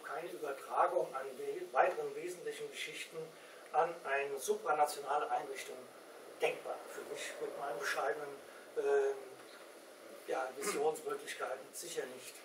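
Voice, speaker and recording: A middle-aged man speaks up from the audience in a discussion. His voice is fairly high, and he goes along in a measured, hesitant way with many pauses. He sounds distant in an echoey room. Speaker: audience member commenting. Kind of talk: spontaneous comment in a discussion. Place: small indoor meeting room. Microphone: distant camera mic. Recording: echoey room, distant mic, background noise.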